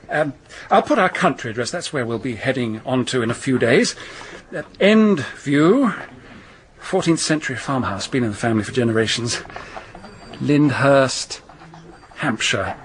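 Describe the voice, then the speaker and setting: An actor playing a glib young English conman posing as a gentleman officer, with ingratiating, affected charm, in a radio crime drama. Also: mid-range voice, very animated, normal speed, pauses often, slight background noise. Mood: smooth, ingratiating. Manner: ingratiating, hesitant fibbing with nervous chuckles.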